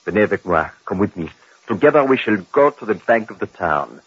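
A man's voice: lowish voice, big pitch swings, slightly rough, no echo, heavily acted. An actor playing a grave, superstitious Frenchman with a French accent, telling ominous tales in old radio horror style. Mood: solemn. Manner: ominous, deliberate storytelling. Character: grave, superstitious French villager warning of a vampire. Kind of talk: 1940s-50s radio horror acting. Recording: muffled old radio broadcast.

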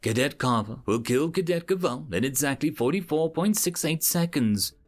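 A man's voice: a little high, expressive, normal speed, natural voice. A man voicing a droning, literal machine translator with a precise, detached delivery in a sci-fi story. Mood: flat, detached.

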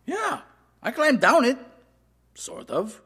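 Someone acting a sardonic survivor, wildly animated, husky. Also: high-pitched, takes it slowly, heavily acted. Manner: wry, blunt. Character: sardonic, scrappy young survivor with a bow. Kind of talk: amateur audio drama acting.

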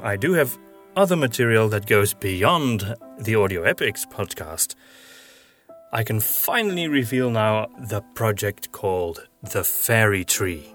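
A man's voice: medium pitch, fairly bright tone. A man hosting a podcast, explaining news and projects to listeners in a conversational way. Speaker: podcast host and audio drama writer.

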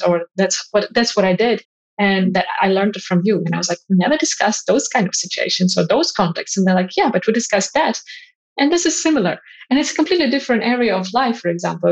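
Woman interviewer on slightly dull audio. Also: mid-range voice. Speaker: interviewer and leadership coach. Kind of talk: conversational interview.

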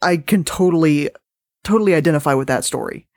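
A woman's voice: sits low, brisk, a little mellow, no room sound, clean studio sound. A woman hosting a podcast, chatting casually and informally between pieces. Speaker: podcast host. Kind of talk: casual chat between stories.

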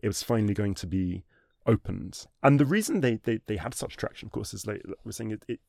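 A man with a low, gravelly voice tells a history story in a relaxed, conversational way, often restarting his sentences and stumbling over words. It is recorded close and dry. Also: brisk, dry recording. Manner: animated, many restarts and hedging fillers. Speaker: history guest.

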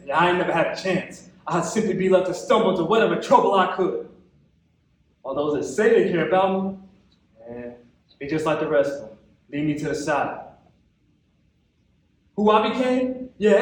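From far off in a very echoey hall comes an actor's portrayal of a bitter, wounded young American man. His voice is high for a man. He delivers a theatrical monologue with colloquial phrasing, heavy dramatic pauses and impassioned hurt. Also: close to an everyday voice. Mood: anguished, defiant. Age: young adult. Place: theatre stage at a festival.

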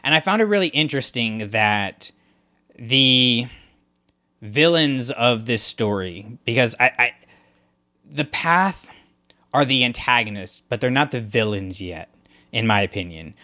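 A man's voice: mid-range voice, animated, a little slow, bright, forceful tone. A man co-hosting a casual discussion podcast, talking things through in a thoughtful, analytical way with frequent restarts. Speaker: podcast co-host.

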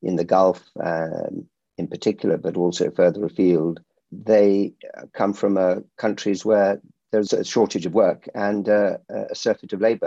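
A middle-aged man who grew up in India, a security company founder answering interview questions in a measured way with frequent restarts. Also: low voice, mellow, no echo, muffled recording.

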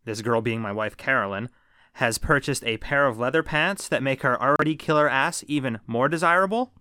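A man's voice: medium pitch, brisk, sharp tone, clear, resonant tone, no room sound, clean studio sound. A man in his thirties co-hosting a casual movie podcast, joking and swearing freely. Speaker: podcast co-host.

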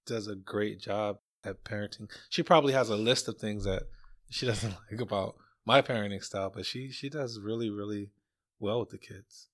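Male guest, middle-aged, lowish pitch, measured conversational answers with repeated words. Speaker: guest, parent. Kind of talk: casual conversational interview answers.

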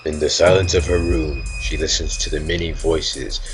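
A man's voice: rumbling low, steady tone, moderate pace, music in the background, rough recording. A man narrating an audio drama trailer in a dramatic scripted style.

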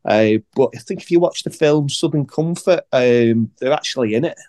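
A man's voice: medium pitch, normal speed, darkish tone. A man chatting casually about music in a rambling, hesitant, slangy and sweary way. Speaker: podcast guest selector.

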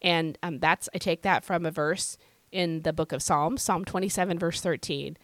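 A woman hosting a devotional podcast, her voice scratchy and hoarse from illness, teaching in an earnest, conversational way. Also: fairly low pitch, fairly even intonation, normal speed, no echo. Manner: earnest, conversational, with fillers and repeated phrases. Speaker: podcast host.

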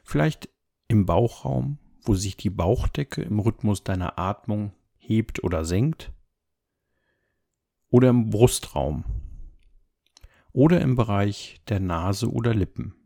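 Low, creaky-voiced man guiding meditation. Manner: calm, gentle, unhurried with measured phrases. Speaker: meditation guide. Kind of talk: guided body scan meditation, soft instruction.

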